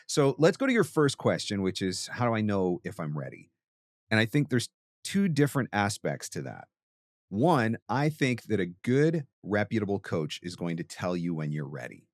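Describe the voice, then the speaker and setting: A man's voice: lowish voice, very animated, normal speed, no room sound, studio quality. A man hosting a talk and giving conversational coaching advice, emphatic and repeating phrases for stress. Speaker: host and voiceover coach answering a question.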